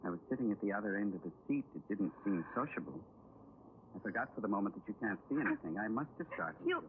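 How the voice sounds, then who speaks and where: A tinny, hissy vintage radio drama carries a low-pitched male stranger played by a man. He is pedantic and oddly polite, with a controlling menace beneath his deliberate words. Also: animated, lightly acted. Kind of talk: old-time radio thriller acting. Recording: tinny, noisy old radio broadcast.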